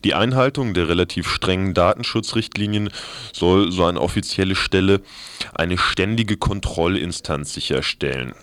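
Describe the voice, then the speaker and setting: Low-voiced man reading radio news. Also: normal speed, a bit bright, no room sound.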